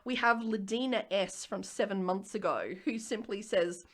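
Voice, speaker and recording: An Australian woman co-hosting a podcast in casual chat, with an animated, expressive manner. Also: mid-range voice, normal speed. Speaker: podcast co-host.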